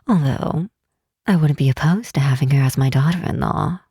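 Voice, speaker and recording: Woman playing a low, breathy demon queen mother. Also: mellow, no room sound. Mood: warm, teasing. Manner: measured, stately, tender and lightly teasing. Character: regal demon queen mother, proud, doting and protective. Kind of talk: roleplay ASMR, soft intimate acting.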